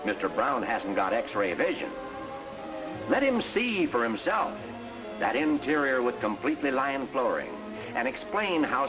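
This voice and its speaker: An actor playing a bossy, emphatic sales mentor who narrates and coaches in a 1950s industrial training film. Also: male voice, medium pitch, natural voice, low-quality recording. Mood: urging, corrective. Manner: instructive, emphatic, salesmanlike delivery. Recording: vintage 1950s film soundtrack with music.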